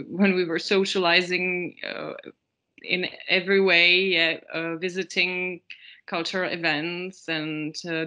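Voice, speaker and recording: A woman hosting an interview, asking measured, formal questions. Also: fairly low pitch, bright, forceful tone.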